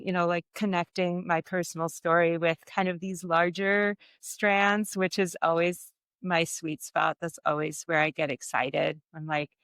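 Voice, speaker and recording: Guest: middle-aged woman, low smooth voice, dry close sound, reflective and quick to laugh. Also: no room sound. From Germany. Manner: warm, reflective, laughs often. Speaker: guest artist and author. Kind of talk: conversational interview.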